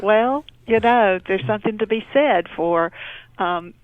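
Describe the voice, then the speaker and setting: A woman's voice: mid-range voice, unhurried, narrow, tinny audio, slight background noise. A woman, a local development official chatting casually and sociably as a radio guest. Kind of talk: casual friendly radio chat.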